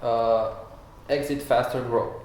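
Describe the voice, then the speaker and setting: A man's voice: medium pitch, steady tone, slow pace, darkish tone. A man hosting a live event interview, asking hedging, filler-heavy questions. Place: event room. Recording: very echoey room with faint ambience.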